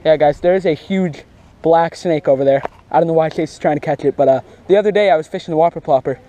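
A young man giving casual, slangy and excitable fishing commentary outdoors, recorded on a body-worn action camera. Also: a little high, quick pace. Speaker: fishing vlogger. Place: outdoors beside a grassy pond.

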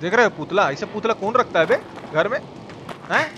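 A man's voice: high voice, lively intonation, brisk, dull audio. A man giving informal, foul-mouthed live game commentary and talking to his viewers. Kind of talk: live gameplay commentary with chat shout-outs. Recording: clear background noise and game ambience. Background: video game ambience.

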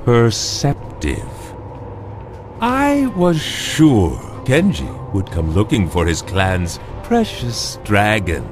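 A man's voice: lowish voice, wildly swooping pitch, takes it slowly, over music, full character voice. A man playing a sly, mocking villainous necromancer in a game cutscene, with a taunting, sinister and menacing delivery. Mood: sly, smug. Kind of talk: video game cutscene acting.

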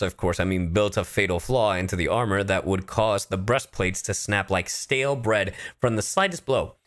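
A man doing live gameplay commentary as a streamer, playful and freely swearing. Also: low-pitched. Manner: animated, playful, profane. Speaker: VTuber game streamer.

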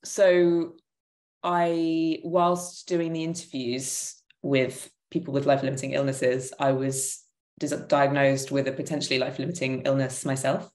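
A woman's voice: a bass voice, monotone, unhurried, a little mellow. A woman in her thirties giving reflective, hesitant answers as an interview guest on a video call, often correcting herself as she goes. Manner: hesitant, thoughtful, self-correcting. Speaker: interview guest, theatre maker. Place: living room at home, indoors, on a video call. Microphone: computer microphone on a video call. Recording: roomy, echoing video-call audio.

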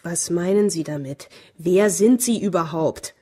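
A woman's voice: on the low side, lively intonation, unhurried, mellow, slightly rough. An actress playing a reclusive, wealthy woman in a German radio thriller, formal, wary and easily affronted. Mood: wary, guarded. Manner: formal, guarded, prickly.

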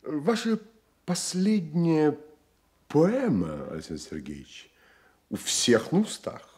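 An actor playing an awkwardly polite, fawning army officer in a radio drama, hesitant and obsequious. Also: male voice, a little high, wildly swooping pitch, slow, darkish tone, heavily acted. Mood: awkward flattery. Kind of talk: Soviet radio drama acting.

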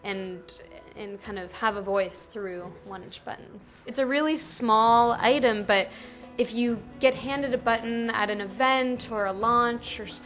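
A woman's voice: fairly high pitch, measured pace, fairly bright tone, faint music behind. A young woman giving casual, reflective interview answers about her work in a small office. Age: young adult. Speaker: interviewee, founder of a small initiative.

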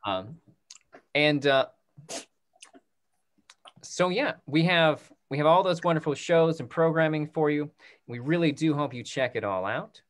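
A man's voice: fairly high pitch, a little slow, pauses often. A man in his thirties informally making announcements as host on a video call, encouraging and a little hesitant. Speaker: instructor hosting a theatre talkback. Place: indoor room on a video call. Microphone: computer microphone on video call.

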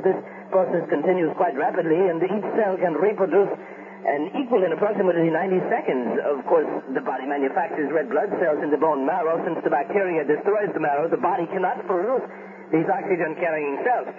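An actor playing an earnest American clinic doctor, explaining technical findings with frustration in a 1970s radio drama interview. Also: male voice, on the high side, close to an everyday voice. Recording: tinny, noisy 1970s radio recording.

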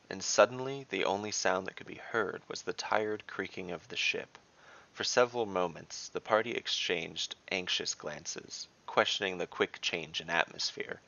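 A man's voice: low voice, gravelly, pushed and bright, dull audio. A man narrating a scripted fantasy adventure story.

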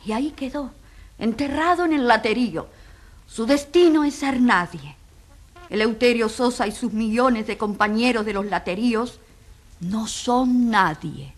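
Solemn female narrator, rhetorical, dramatic radio delivery, raised pitch. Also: a little slow. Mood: solemn, indignant. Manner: grave, rhetorical. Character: solemn, compassionate woman narrator at a tribunal. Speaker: narrator and moderator of a dramatized trial.

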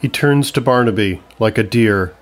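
A man's voice: mid-range voice, normal speed. A man narrating a scripted comedy story in the present tense.

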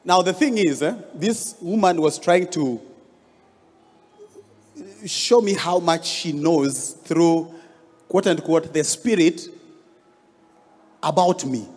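Kenyan male pastor, 30s, fairly high voice, storytelling sermon, hall echo, handheld mic. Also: slow pace, pauses often, darkish tone. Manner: storytelling, rising emphasis on key words. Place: large church hall stage. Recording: long hall reverb.

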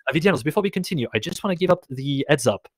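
A man's voice: a little high, animated, very fast, no echo. Chatty man hosting a live Q&A. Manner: chatty, rambling, self-correcting. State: voice getting raspy. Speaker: conference host. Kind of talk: casual live Q&A moderation.

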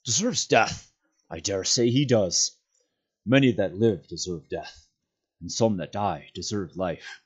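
A man voicing a wise old mentor in a storybook reading, solemn, weighty and deliberate. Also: low-pitched, breathy edge, highs cut off.

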